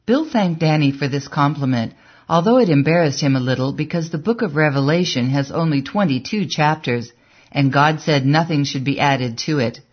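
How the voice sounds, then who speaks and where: An audiobook narrator reading a story in a measured, even way, on a dull, lo-fi recording. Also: mid-range voice, breathy edge. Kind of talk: scripted narration.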